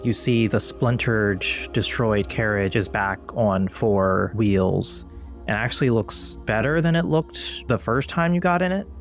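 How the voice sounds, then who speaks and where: A man narrating a tabletop actual play as game master, with measured, deliberate storyteller phrasing. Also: fairly low pitch, faint music behind.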